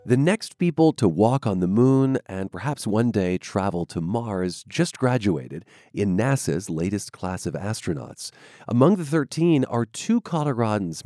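A man's voice: on the low side, expressive, a little slow, mellow, dry recording, clean studio sound. A man with an American accent hosting a radio program, moving between scripted narration and interview questions.

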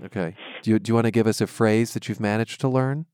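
A man's voice: on the low side, fairly even intonation, quick pace, a little mellow, clear, resonant tone, no echo, clean studio sound. A man with an American accent hosting a radio program, moving between scripted narration and interview questions.

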